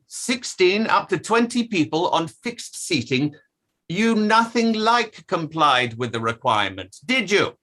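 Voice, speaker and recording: A man's voice: on the high side, pushed and bright, exaggerated voice. A man playing a precise, pressing inquiry barrister, cross-examining formally in a play table read. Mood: sceptical, insistent. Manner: probing, formal, hesitant over document references.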